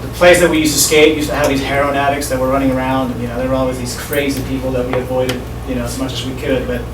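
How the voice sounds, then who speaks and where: A man's voice: mid-range voice, quick pace. Middle-aged man in a roomy hall. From Sweden. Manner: relaxed, conversational, addresses the audience directly. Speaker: speaker at a school assembly. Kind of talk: personal story told to students. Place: school hall. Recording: echoey hall from far off, noisy room.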